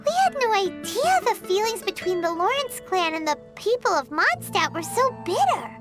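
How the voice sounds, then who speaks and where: A woman voicing a small, excitable, fretful fairy companion with a childlike, squeaky voice in a video game. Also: normal speed, music in the background. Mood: apologetic. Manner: refers to self in third person, fretful.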